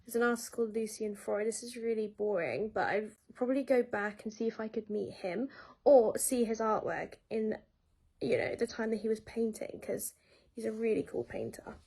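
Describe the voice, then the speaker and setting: Fairly high-voiced woman. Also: normal speed, creaky, a little mellow, breathy edge. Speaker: voice cast member. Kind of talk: casual interview chat.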